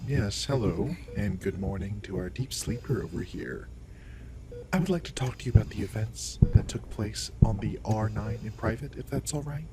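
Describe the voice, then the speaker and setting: Guy doing alien doctor in audio roleplay, lowish voice, polite but in charge, formal explainer type. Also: normal speed, background noise, natural voice. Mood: courteous authority. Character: courteous, authoritative male alien doctor, measured and explanatory.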